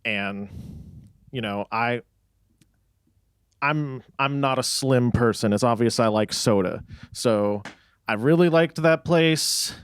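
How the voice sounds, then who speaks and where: A man's voice: medium pitch, slow, long pauses, clean tone. A young man from Texas with an American accent, chatting casually and wryly in a band interview. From Texas, United States. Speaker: band musician being interviewed. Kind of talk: casual chat.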